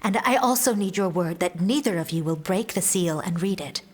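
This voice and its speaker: A woman playing an anxious, guarded young noblewoman in a fantasy audio drama, speaking in measured, formal, earnest phrases. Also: fairly low pitch, lively intonation, darkish tone, husky. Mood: earnest, insistent.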